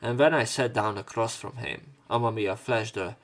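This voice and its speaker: A man's voice: mid-range voice, normal speed, a bit bright. A man hosting a let's play, reading a visual novel aloud theatrically and adding casual commentary.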